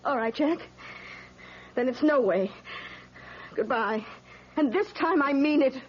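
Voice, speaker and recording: High-voiced, emotional young girlfriend. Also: animated, slow pace. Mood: upset. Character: worried, pleading young girlfriend who talks back. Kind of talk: radio drama acting. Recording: dull radio sound, loud vehicle noise.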